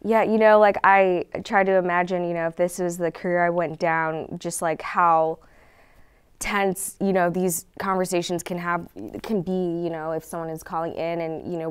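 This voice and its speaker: A woman's voice: fairly low pitch, clear, resonant tone. An American woman in her thirties hosting a casual studio interview. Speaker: host. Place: indoor TV studio at a desk.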